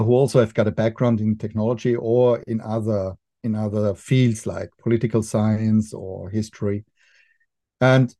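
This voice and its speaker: Austrian man, dark dry voice. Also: medium pitch, dry recording. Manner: thoughtful, halting, many restarts and fillers. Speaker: documentary filmmaker guest. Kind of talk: podcast interview.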